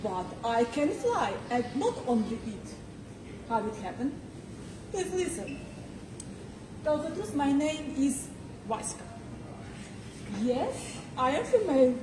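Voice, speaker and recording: A woman voicing a whimsical female flying cat in a theatrical speech, deliberate and playfully boastful. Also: high-pitched, very animated, heavily acted. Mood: playful, proud. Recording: distant roomy pickup with clear noise.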